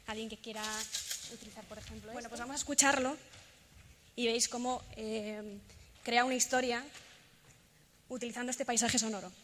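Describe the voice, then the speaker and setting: A woman with a Spain Spanish accent gives an informal presentation to an audience, hesitant and pausing often with fillers. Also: on the high side, fairly even intonation, a bit bright. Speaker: presenter giving a talk and demonstration. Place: large echoing indoor event space. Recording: reverberant hall, clear background noise.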